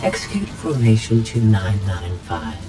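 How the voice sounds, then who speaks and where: An actor voicing a cold, haughty machine villain in a video game, declaiming with contempt for living things. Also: male voice, lowish voice, animated, unhurried, poor audio. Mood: triumphant. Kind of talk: game cutscene acting. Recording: loud music behind.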